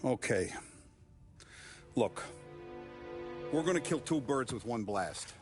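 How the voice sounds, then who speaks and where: An actor playing a blunt, authoritative mob boss giving orders in a video game cutscene. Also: male voice, medium pitch, animated, slow pace. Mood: stern. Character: commanding older male mob boss. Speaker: crime boss. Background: music score.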